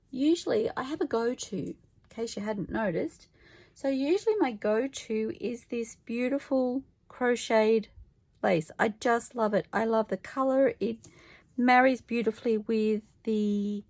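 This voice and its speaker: A woman with a croaky voice, chatting casually to camera about her craft project, thinking aloud and correcting herself as she goes. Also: on the high side, no room sound. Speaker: crafter vlogging her junk journal project. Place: home craft table, filmed from overhead.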